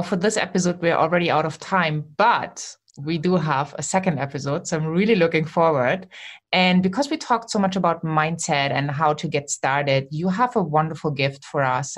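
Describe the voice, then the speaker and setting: Woman, podcast host, slightly low pitch, upbeat promotional outro. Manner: upbeat promotional wrap-up, stumbling questions.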